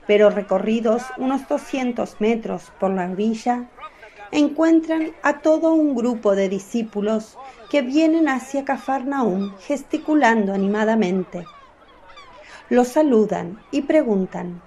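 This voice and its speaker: A woman narrating a radio drama in a calm, measured storytelling manner. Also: a little high.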